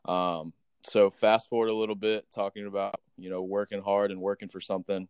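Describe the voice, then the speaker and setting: Low, smooth-voiced male interviewer. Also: flat delivery, fairly quick, darkish tone, no room sound. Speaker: interview show host. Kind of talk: casual interview.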